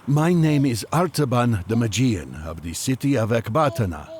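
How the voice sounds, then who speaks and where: An actor playing an earnest, courteous ancient magus on a quest, speaking formally in an audio drama. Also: male voice, medium pitch, animated, moderate pace, a little mellow, a little hiss or hum, lightly acted.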